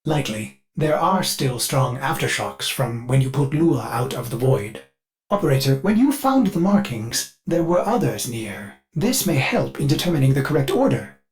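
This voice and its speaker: A man's voice: medium pitch, big pitch swings, moderate pace, natural voice. A man voicing a devoted, anxious ship AI in a video game, speaking in a formal, theatrical and solicitous way. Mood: focused, urgent. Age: older. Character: fussy, devoted ship AI, eager, anxious, glitchy servant. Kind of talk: scripted video game voice acting.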